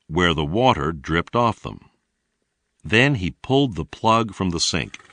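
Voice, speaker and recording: A man with a deep voice narrates a language lesson. His delivery is slow and deliberate, with animated intonation, and the sound is close and dry. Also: no echo. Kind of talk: scripted narration, read-along language lesson.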